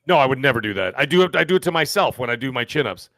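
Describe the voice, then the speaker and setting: A man in his fifties talks quickly through a casual podcast chat, rambling from one joking story to the next. His voice sits at an ordinary pitch, and the sound is dry, close and free of room echo. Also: animated, bright, forceful tone. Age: 50s. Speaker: podcast co-host.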